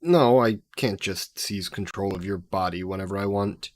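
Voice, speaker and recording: A man's voice: deep voice, normal speed, no room sound, close to an everyday voice. A man voicing a centuries-old male demon bound in a necklace, sly and possessive, speaking in a mocking, theatrical way in an audio roleplay to the listener.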